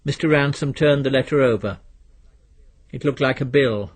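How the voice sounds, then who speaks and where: A man reading literary fiction as a narrator, in a measured, precise and dry storytelling manner. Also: mid-range voice, husky. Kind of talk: scripted literary audiobook narration.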